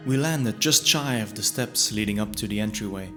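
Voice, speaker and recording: A man delivering scripted first-person fantasy narration in a measured, descriptive storytelling manner. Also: lowish voice. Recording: music bed under the voice.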